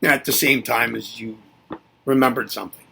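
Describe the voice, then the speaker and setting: A man's voice: medium pitch, normal speed, vocal fry, sharp tone, slight background noise. A man giving a reflective spoken lesson, musing aloud and asking himself rhetorical questions before answering them. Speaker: presenter.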